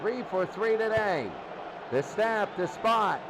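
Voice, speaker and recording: A high-voiced man gives live football play-by-play. He speaks in short, clipped phrases as the action moves. The recording is a noisy, low-fi broadcast. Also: expressive, slow pace. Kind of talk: live sports commentary.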